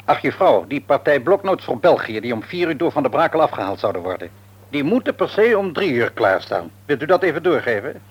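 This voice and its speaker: An actor playing a busy, authoritative middle-aged father and office manager in a radio drama, brisk and businesslike. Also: mid-range voice, big pitch swings, highs cut off, slight background noise, lightly acted.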